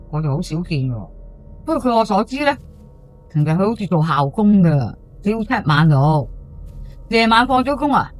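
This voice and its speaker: A woman's voice: mid-range voice, a bit bright, natural voice. A woman playing a chatty, superstitious housewife in her sixties with a Hong Kong accent, telling a ghost story in a colloquial, gossipy way. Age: 60s. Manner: colloquial, gossipy, with drawn-out sentence particles and exclamations. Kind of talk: first-person ghost story monologue for audio drama.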